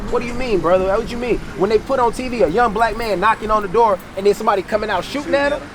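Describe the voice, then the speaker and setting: A man with a high voice and an American accent preaches outdoors over loud street and traffic noise. He speaks colloquially, exhorting his listener and hammering phrases by repeating them. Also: racing. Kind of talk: impromptu street sermon on scripture.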